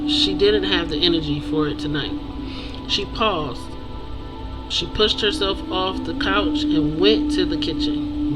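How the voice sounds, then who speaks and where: An author presenting their own book in a nervous, halting voice with small stumbles and self-corrections. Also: medium pitch, rough recording. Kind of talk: read-aloud fiction, then casual promotion. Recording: music playing behind voice. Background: music.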